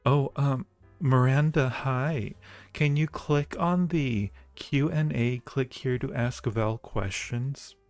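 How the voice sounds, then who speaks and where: A man's voice: medium pitch, takes it slowly, a little mellow, dry recording. A man hosting a livestream, chatting casually and playfully with viewers and speaking to them affectionately. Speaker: livestream host and audio roleplay creator. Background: background music.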